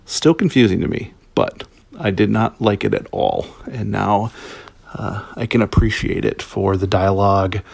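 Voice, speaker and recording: Low, creaky-voiced man reviewing casually. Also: big pitch swings, moderate pace, no room sound. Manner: hesitant, rambling, thinking aloud. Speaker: listener giving feedback to a podcast.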